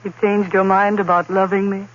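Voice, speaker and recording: An actress playing a devoted, concerned fiancée in an old-time radio drama, theatrical and solicitous. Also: female voice, medium pitch, flat delivery, moderate pace, close to an everyday voice. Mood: tender concern. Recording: dull old radio recording with hiss.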